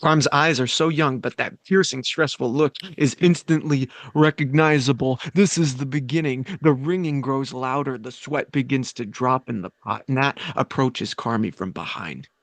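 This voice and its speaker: A man with a middling pitch reads stage directions as scripted narration. The sound is close and dry. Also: normal speed, hardly any pauses, dry recording.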